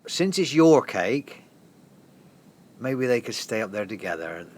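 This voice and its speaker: A gentle, inquisitive man, played by a male actor in a bittersweet comic audio drama. His mid-pitched voice moves up and down in lively swings as he probes with questions. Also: unhurried. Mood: tentative request. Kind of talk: audio play acting.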